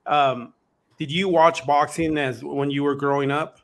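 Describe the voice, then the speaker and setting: A man's voice: a little high, steady tone, a little slow, fairly bright tone. A man working as a co-host, asking casual interview questions in loose, informal phrasing.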